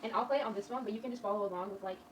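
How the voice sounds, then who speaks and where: Young woman chatting super fast, far from mic, echoey room. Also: medium pitch. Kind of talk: casual chat. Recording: roomy, distant mic, noisy background.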